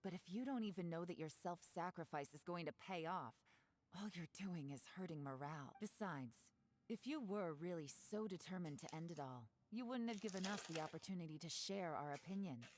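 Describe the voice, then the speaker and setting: Woman voicing a cool, low-voiced sardonic operative. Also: expressive, brisk, a little hiss or hum, natural voice. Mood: cutting. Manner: composed, dry, pointed. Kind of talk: video game voice acting.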